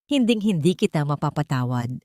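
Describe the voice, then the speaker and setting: A woman's voice: low voice, very animated, brisk, darkish tone, dry recording, clean studio sound. A woman voicing a cold, angry adult son in a story, speaking with accusing emphasis. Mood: cold anger.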